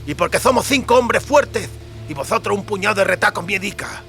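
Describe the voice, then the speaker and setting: A man's voice: high voice, lively intonation, a bit bright, pitched above the performer's own voice. A man playing a hissing, sneering bandit villain over music. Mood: sneering menace. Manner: hissing, drawn-out, mocking threats. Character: sneering, lecherous, menacing human bandit on horseback. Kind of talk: audiobook character acting.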